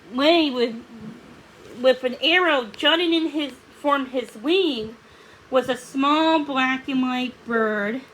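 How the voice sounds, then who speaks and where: Female storybook reader: high, animated narration, slight background noise. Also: poor audio. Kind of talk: expressive read-aloud narration.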